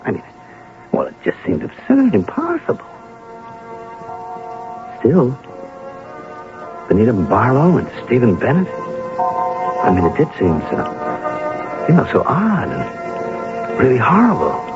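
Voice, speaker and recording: An actor playing an anxious, henpecked husband in an old-time radio drama, stammering and hesitant. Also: male voice, mid-range voice, huge pitch swings, over music. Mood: bewildered. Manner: stammering, flustered, halting. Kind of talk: old-time radio drama acting, with first-person narration. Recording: muffled, noisy old radio broadcast.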